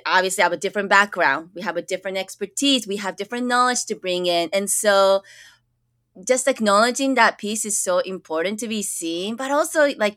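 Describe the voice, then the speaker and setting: Young woman from japan, bright clear voice, dry close mic, earnest and full of "like"s. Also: mid-range voice, dry recording. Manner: earnest, many fillers and restarts. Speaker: school counselor guest. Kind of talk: casual interview conversation. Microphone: podcast microphone.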